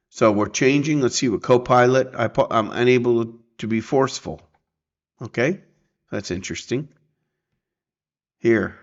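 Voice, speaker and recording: A man with a fairly low voice walks through software in an unhurried, casual way. He thinks aloud haltingly and often checks in with short tag questions. The recording is somewhat dull and muffled. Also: creaky. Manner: halting, conversational, often checking with "right?" and "okay?". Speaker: presenter of a software tutorial.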